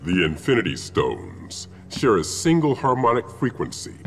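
An actor playing an arrogant, menacing titan supervillain in a video game, with a gravelly voice and pompous, disdainful formal speech. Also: male voice, fairly low pitch, very animated, moderate pace, a little hiss or hum. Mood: haughty. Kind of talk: video game villain acting.

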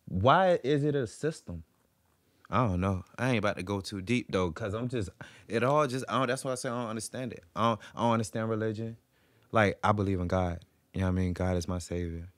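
A young man talks casually into a close podcast mic. His voice sits on the low side and the sound is dry. He rambles in slang, repeating phrases as he works through a thought. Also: fairly quick, no echo. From Virginia, US. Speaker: podcast guest, recording artist. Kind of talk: casual chat. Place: small podcast room with couches.

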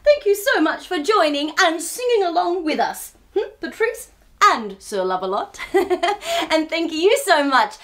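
A woman's voice: extremely high pitch, sing-song, measured pace, fairly bright tone. A woman in her thirties hosting storytime for young children, talking in a playful, exaggerated sing-song way. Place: small furnished room, indoors.

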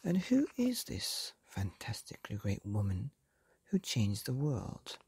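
Male bedtime storyteller, hushed and breathy, gravelly, unhurried, close dry mic. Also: lowish voice, no echo. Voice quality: soft breathy half-whisper. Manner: slow, gentle and lilting. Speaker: storyteller and podcast host.

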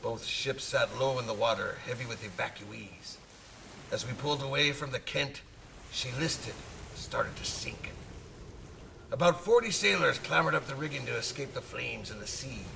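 An actor playing a talkative old sailor who spins hearty sea tales in old-salt style for a radio drama. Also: male voice, medium pitch, normal speed, creaky, sharp tone, recorded from a distance, slight background noise. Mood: reminiscing. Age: older. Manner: nautical old-salt talk, calls listener laddie.

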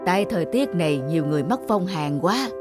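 Sober, principled old village physician. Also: male voice, high-pitched, animated, quick pace, over loud music. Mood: sober, caring. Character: weary, principled older male village physician. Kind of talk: animated folk-tale dubbing.

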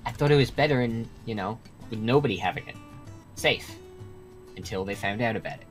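Man acting insistent ancient immortal, lower voice. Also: a little fast, soft background music, close to an everyday voice. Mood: defensive. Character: centuries-old schemer, self-righteous male leader of a quest. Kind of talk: improvised Minecraft roleplay.

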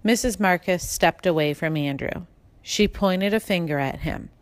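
A woman's voice: on the low side, normal speed, dry recording. Woman reading a children's story, dry recording. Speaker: audiobook reader. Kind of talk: scripted children's storybook narration.

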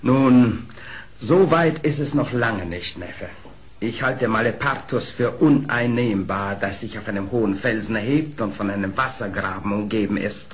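An actor playing a proud, cunning, vengeful fox baron in an audio play, with a haughty theatrical delivery. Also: male voice, lowish voice, normal speed, lightly acted. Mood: smug, confident. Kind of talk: German audio play acting.

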